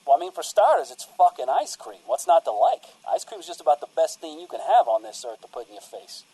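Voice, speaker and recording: Mellow, earnest cult priest played by a man. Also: a little high, big pitch swings, a little fast, slightly rough, no room sound, low-quality recording. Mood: enthusiastic. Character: earnest, folksy male priest of a rock cult. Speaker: guest on a radio show. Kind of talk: comedic radio-play interview.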